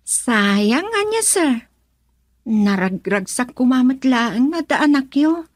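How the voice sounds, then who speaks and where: A woman's voice: high-pitched, expressive, takes it slowly, dry recording, clean studio sound, exaggerated voice. A woman playing a forward, coy and sympathetic young woman in an Ilocano radio drama, with an Ilocano accent. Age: young adult.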